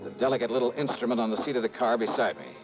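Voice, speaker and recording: Guy acting hardboiled american radio detective, blunt, talks really quick, old muffled radio sound. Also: medium pitch, lightly acted. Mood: wry narrating. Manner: blunt, clipped, hard-boiled. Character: brisk, tough, no-nonsense male insurance investigator. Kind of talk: 1950s radio drama acting, first-person narration and dialogue. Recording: muffled vintage radio recording with music.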